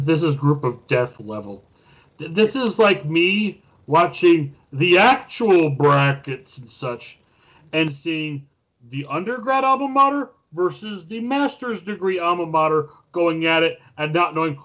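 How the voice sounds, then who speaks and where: A man in his thirties with a moderately high voice talks casually as part of a podcast panel. The recording is a little dull. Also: animated, measured pace, a bit bright. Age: 30s. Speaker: podcast co-host.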